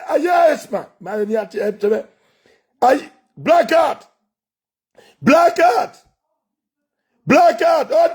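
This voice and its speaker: Guy shouting, chanting the same bits again and again, super high voice. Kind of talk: shouted rant.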